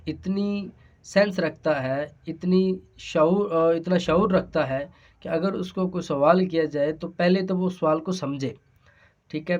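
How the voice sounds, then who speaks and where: A man's voice: on the high side, darkish tone. A man with a Pakistani accent giving an explanatory law lesson, speaking hesitantly and repeating his phrases. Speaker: law lecturer. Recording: dull, lo-fi sound.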